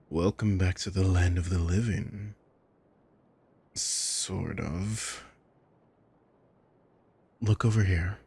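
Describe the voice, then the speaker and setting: A man playing a coolly mocking, possessive villain in an ASMR roleplay, with a husky, breathy voice and a deliberate, menacing drawl. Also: low-pitched, darkish tone, heavily acted. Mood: impatient, commanding. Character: sadistic male villain, coolly mocking, possessive, taunting his captive. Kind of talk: ASMR roleplay audio drama.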